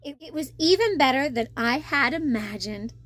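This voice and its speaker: High-pitched, animated woman storyteller. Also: moderate pace. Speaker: costumed children's storyteller. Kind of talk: expressive storybook reading to children.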